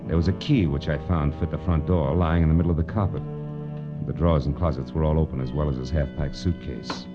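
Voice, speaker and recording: An actor playing a wry, world-weary private detective in 1940s radio noir, with clipped, sardonic delivery. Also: male voice, rumbling low, steady tone, fast talker. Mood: tense, grim. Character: hard-boiled male private detective, wry and cool. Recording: dull vintage radio recording with music.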